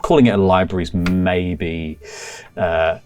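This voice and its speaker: A man's voice: deep voice, steady tone, a little slow, a little mellow, faint music behind. A man narrating a tabletop game as game master, meandering with fillers.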